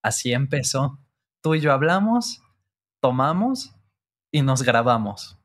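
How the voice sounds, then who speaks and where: A man's voice: medium pitch, sing-song, slow. A man with a Mexican accent talking in a laid-back, slangy way as a guest in an interview. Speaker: guest, co-creator of a Spanish-learning channel. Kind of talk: casual interview chat.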